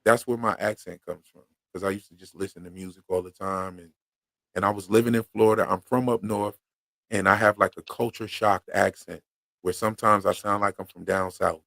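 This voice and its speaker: A man with a Philadelphia accent hosts a relaxed livestream, talking in easy slang with lots of fillers. His mid-range voice carries a gravelly edge, and the sound is close and dry. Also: low-pitched, brisk, no echo. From Pennsylvania. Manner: laid-back slangy talk with frequent fillers. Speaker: podcast host. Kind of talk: casual livestream chat.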